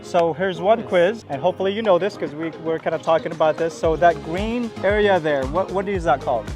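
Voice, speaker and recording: A man from the Philippines coaching a beginner on an outdoor pickleball court, talking in an encouraging way with halting restarts. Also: fairly high pitch, over music. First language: Bisaya and Tagalog. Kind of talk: casual instruction on a court.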